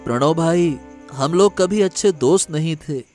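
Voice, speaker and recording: A man's voice: a little high, unhurried, a little mellow, faint music behind, natural voice. A man playing a sympathetic, grieving village man in a cartoon drama, somber and consoling. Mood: somber sympathy. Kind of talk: animated moral story drama.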